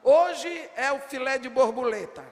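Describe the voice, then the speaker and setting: An older woman delivers a sermon at a slow, deliberate pace, leaning on rhetorical questions and rising to shouts. Her voice rings through a big church hall with a long reverb tail. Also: high voice. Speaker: preacher at women's congress.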